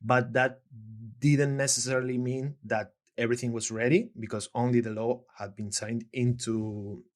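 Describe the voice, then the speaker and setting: Man hosting, halting and filler-heavy. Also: medium pitch. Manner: hesitant, many fillers and restarts. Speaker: podcast host and journalist. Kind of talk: casual podcast interview.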